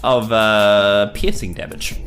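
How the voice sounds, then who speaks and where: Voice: sits low, takes it slowly, a bit bright. A person with a New Zealand accent chatting playfully and dramatically as a player in a tabletop game. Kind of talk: casual game chat. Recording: music bed behind voice.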